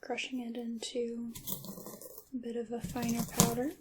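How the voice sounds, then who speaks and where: A woman voicing a polite, secretive witch shopkeeper in a hushed, unhurried ASMR roleplay, moving between whispers and soft formal speech. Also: fairly high pitch, monotone, background noise, natural voice. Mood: attentive, instructive.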